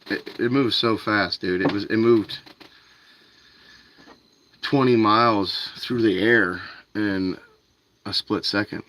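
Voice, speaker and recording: Low-voiced man chatting on a night livestream. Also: fairly bright tone, low-quality recording. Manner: loose and chatty, talks to viewers by name, says "dude" and "man". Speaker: fishing livestream host. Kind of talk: casual livestream chat with viewers. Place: outdoors by a canal at night.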